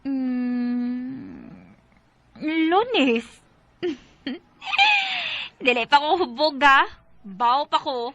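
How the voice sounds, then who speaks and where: A woman's voice: high-pitched, wildly swooping pitch, very slow, pauses often, pushed and bright, no echo, highs cut off, exaggerated voice. A woman with a Cebuano Filipino accent playing a careless, defensive young girlfriend in a radio drama, whiny and emphatic. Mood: tipsy, defensive. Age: young adult. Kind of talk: Bisaya radio drama acting.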